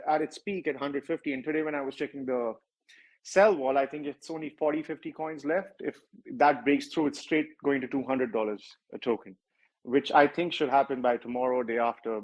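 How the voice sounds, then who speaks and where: A man's voice: medium pitch, poor audio. A man with a strong Indian accent, a project founder answering questions in a livestreamed interview, talking at length and often correcting himself. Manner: rambling, self-correcting, with many filler words.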